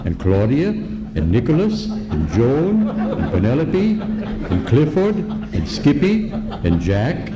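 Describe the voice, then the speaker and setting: A man's voice: mid-range voice, huge pitch swings, takes it slowly. An actor playing a fussy, indignant elderly man in an old-time radio comedy, talking in a pompous, sputtering, old-fashioned way. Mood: fussy, proud. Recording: noisy live ballroom stage recording.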